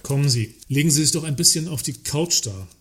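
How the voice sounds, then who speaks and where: An actor playing a self-important, opportunistic works councillor in a satirical radio play, officious and formally polite with barbed undertones. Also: male voice, a little high, expressive, a little fast, a bit bright, husky. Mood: placating.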